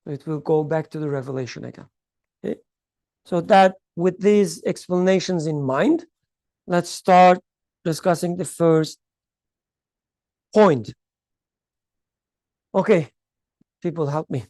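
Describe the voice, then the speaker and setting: Class leader explaining a text, slow and searching, dry room sound, often checks understanding. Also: medium pitch, a little mellow, no echo. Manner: unhurried, thinks aloud with restarts, checks in with "right?" and "okay?"; clears throat often. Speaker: teacher leading a study class.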